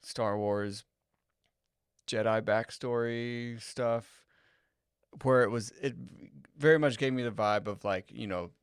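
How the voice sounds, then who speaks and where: A man's voice: mid-range voice, steady tone, takes it slowly, clean tone, dry recording, clean studio sound. A man co-hosting a podcast, speaking casually and hesitantly, often repeating words as he searches for them. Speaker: podcast co-host. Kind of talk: casual chat.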